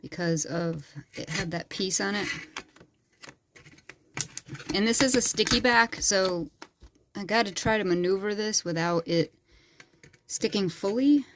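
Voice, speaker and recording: A woman with a sore, hoarse throat, casually talking through a craft tutorial in a small bedroom craft corner, rambling with lots of fillers. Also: medium pitch, slight background noise. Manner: rambling and chatty, with frequent fillers. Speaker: crafting channel host. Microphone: phone camera.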